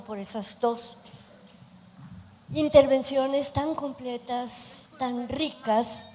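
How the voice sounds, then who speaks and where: An older woman from Colombia with a Colombian accent, asking a question from the audience in a measured way with pauses. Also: a little high, dark tone. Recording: echoey hall.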